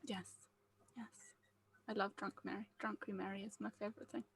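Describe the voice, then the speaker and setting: A woman's voice: medium pitch, flat delivery, brisk, vocal fry, fairly bright tone, husky. A woman chatting casually on a group call as the writer leading a table read, jokey and self-deprecating. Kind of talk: casual video call chat.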